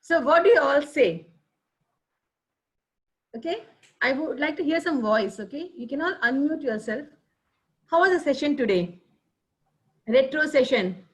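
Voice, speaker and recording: A high-pitched woman, talking over a video call. She speaks in a chatty, encouraging way, with plenty of fillers as she praises each member. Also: animated, unhurried, long pauses. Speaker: general evaluator at a speaking club meeting. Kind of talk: online club meeting evaluation.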